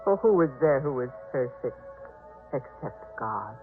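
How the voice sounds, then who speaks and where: A woman playing a devout, compassionate wife, earnest and gentle, in a 1950s radio drama. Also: a bass voice, animated, unhurried, soft background music. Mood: earnest persuasion. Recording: tinny vintage 1950s radio recording.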